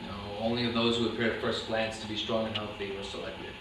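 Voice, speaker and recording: A man's voice: lowish voice, little pitch movement, fast talker, lightly acted. A man playing an unrepentant former Nazi commandant under questioning in a staged reading, formal and matter-of-fact. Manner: formal, clipped, bureaucratic. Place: indoor room at a live staged reading, distant mic. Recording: very echoey, noisy room, distant mic.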